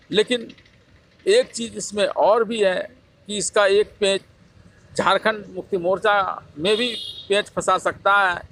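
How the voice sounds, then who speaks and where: A man's voice: sits high, fairly bright tone, rough recording. A man presenting scripted political news commentary in the manner of a reporter. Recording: clear background noise.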